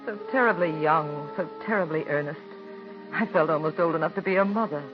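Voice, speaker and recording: An actress in her thirties playing a calm, married playwright's wife who narrates, speaking in a poised, measured way in a 1940s radio drama. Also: sits low, lightly acted. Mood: reflective. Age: 30s. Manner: poised and measured, gently firm. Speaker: narrator and lead character. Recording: dull old radio broadcast with faint music.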